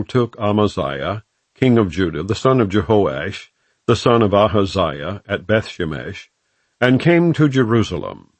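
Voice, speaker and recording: A low male voice reads scripture as an audiobook narrator. His delivery is solemn and formal, with measured phrasing and a lively rise and fall in pitch. The sound is dry and close, free of room echo.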